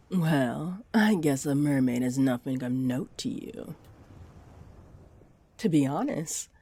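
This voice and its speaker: A woman's voice: extremely low pitch, warm tone, slightly rough, full character voice. A woman playing a flirty, scheming mermaid in a roleplay audio drama, teasing and coaxing her listener. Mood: admiring. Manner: teasing, coaxing, slow and deliberate. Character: flirty, scheming female mermaid, sweetly tempting a pirate.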